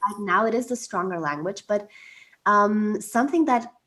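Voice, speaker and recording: A woman's voice: medium pitch, normal speed, pushed and bright. A woman coach hosting a podcast interview, talking in a reflective, supportive way. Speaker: podcast host, expat coach. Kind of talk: interview conversation.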